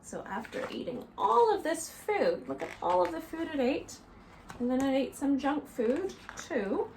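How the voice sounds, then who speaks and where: Lady teacher doing storytime for little kids, slow and super animated, explains stuff simply. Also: high-pitched, slight background noise, low-quality recording. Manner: deliberate, instructive, addressing young children. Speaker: teacher reading to young children. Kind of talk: expressive picture-book read-aloud and simple lesson for children. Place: small living room, indoors.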